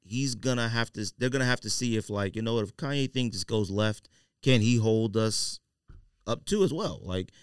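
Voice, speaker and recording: A man's voice: mid-range voice, moderate pace, smooth, dry recording. Man, podcast host, clean resonant voice, conversational, slangy, dry close recording. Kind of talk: casual sports and sneaker chat.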